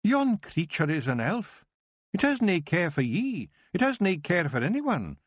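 A man doing a gruff Scottish clan chief. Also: high-pitched, sing-song, measured pace, no echo, voice pushed up, heavily acted. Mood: stern. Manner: gruff, blunt, broad dialect. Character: stern Scottish clan chief Kelder, small fierce man.

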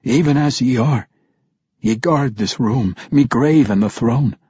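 A dwarf king , as a male narrator puts him on, speaks in a firm dwarvish brogue. His voice is raised, loud and husky. The studio sound is dry and slightly dull. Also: mid-range voice, a little slow, dry recording, pitched above the performer's own voice.

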